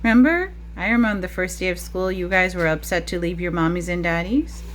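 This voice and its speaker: A woman with a slightly low voice speaks as a preschool teacher reading a picture book aloud to small children. She talks in a teacherly, interactive way and often puts questions to her listeners. There is faint noise in the recording. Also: fairly quick. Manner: teacherly, asking frequent questions of young children.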